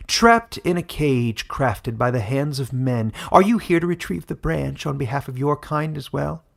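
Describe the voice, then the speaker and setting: A man's voice: mid-range voice, moderate pace, gravelly, voice pushed up, close to an everyday voice. A man voicing a cruel, mocking woman ruler in a fantasy audiobook, haughty and theatrical in delivery. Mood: scoffing. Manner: scornful, sneering, theatrical. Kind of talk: dramatic fantasy audiobook character reading.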